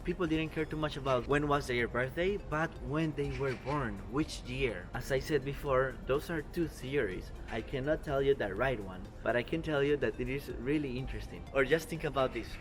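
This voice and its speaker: 20s guy from costa rica, earnest careful english, clear music bed behind. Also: mid-range voice, normal speed, few pauses. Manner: earnest, careful non-native English with small grammar slips. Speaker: vlogger. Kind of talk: explanatory travel vlog. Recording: music playing underneath.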